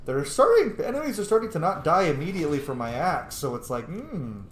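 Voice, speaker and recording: A man's voice: a little high, very animated, brisk, fairly bright tone. A man hosting a game playthrough with casual, playful, sweary commentary. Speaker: gaming video host. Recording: echoey room, faint noise.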